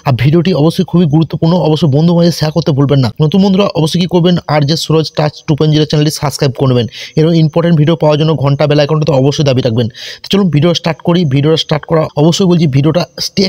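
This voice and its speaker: Very fast-talking man presenting a tutorial. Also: fairly high pitch, a little mellow. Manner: repetitive, often addressing viewers as friends. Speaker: host of a phone tutorial channel. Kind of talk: step-by-step tech tutorial narration.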